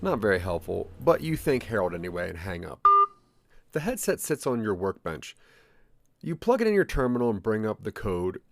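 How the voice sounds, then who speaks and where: A man's voice: lowish voice, sing-song, moderate pace, vocal fry, darkish tone. A man narrating a scripted audio drama in the second person.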